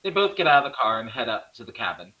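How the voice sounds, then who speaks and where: Male narrator for screenplay directions: scripted read, medium pitch, crisp bright edge. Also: sing-song, fast talker, highs cut off. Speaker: narrator reading stage directions.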